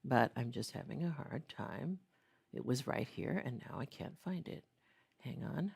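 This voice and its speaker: A woman's voice: extremely low pitch, animated, measured pace, gravelly, a little mellow, breathy edge. A woman artist giving an informal seminar talk about her work, chatty and full of fillers and restarts. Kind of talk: informal lecture. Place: seminar room.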